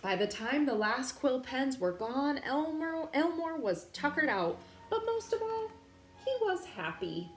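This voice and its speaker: A woman reading a picture book aloud to young children in an expressive, teacherly way, indoors. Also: high voice, a little slow, faint music behind. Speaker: children's story reader, teacher. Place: indoor room. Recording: roomy echo, clear background noise.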